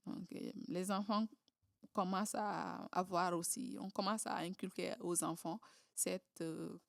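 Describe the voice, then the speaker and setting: A woman's voice: lowish voice, fairly even intonation, a little slow, gravelly, no room sound. Guest: woman from southern senegal, hesitant, talks with lots of fillers and restarts, dry close sound. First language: Creole, Diola and Wolof. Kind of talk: casual interview conversation.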